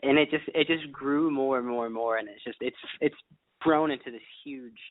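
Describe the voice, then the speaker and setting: A man's voice: medium pitch, normal speed, dry recording. A teenage young man from Texas chatting casually as an interview guest, repeating words as he goes. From Texas, United States. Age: teens, sixteen. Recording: dry, thin phone-like line.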